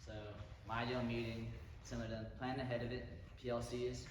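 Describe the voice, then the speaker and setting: Teenage male, formal campaign speaker, measured wording, middle pitch, heavy room echo. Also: completely flat delivery. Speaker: youth candidate giving a campaign speech. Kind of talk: formal campaign speech and answers. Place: large echoey indoor room. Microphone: distant microphone. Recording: echoey hall from far off, clear background noise.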